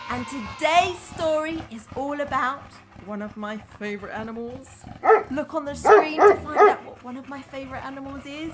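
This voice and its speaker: A woman primary school teacher reading a story aloud to young children in an enthusiastic, expressive way, chatting to them between lines. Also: high voice. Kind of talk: children's storytime read-aloud with asides to the audience.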